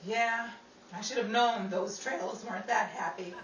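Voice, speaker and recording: A woman's voice: mid-range voice, measured pace, close to an everyday voice. A woman playing a scatterbrained, defiant young Hollywood hopeful in 1930s radio comedy, breezy and wisecracking. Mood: exasperated, defensive. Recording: echoey room, distant mic, noisy audience.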